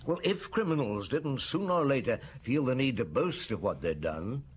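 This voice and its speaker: A man's voice: mid-range voice, big pitch swings, moderate pace, a little hiss or hum, natural voice. A man playing a methodical police inspector. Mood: deliberate. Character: methodical middle-aged male police inspector. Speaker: detective. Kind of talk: radio drama acting.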